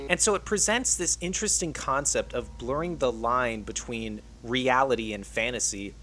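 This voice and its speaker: A man's voice: medium pitch, moderate pace, sharp tone. A man reading a scripted video essay as the narrator of an online channel.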